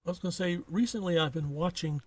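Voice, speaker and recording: A middle-aged or older man chats casually as a podcast co-host. His voice sits a little high, and he drops dry, wry asides as he goes. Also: quick pace, husky. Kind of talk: casual chat.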